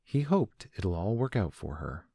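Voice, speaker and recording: Low-voiced man narrating a story. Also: big pitch swings, measured pace, creaky, mellow, a little hiss or hum. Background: music.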